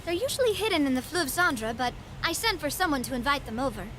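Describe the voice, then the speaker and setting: A woman's voice: high-pitched, sing-song, fast, fairly bright tone, a little hiss or hum. An actress playing a confident, gracious young woman in a video game, assured and polite in her delivery.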